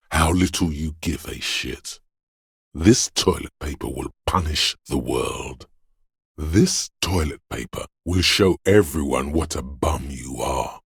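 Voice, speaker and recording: Very deep, gravelly, menacing inner voice. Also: male voice, no room sound, studio quality, full character voice. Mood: bitter scorn. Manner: brooding, theatrical, deliberate delivery with ominous weight. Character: menacing inner voice of fear and violent aggression. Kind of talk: second-person dramatic video game narration.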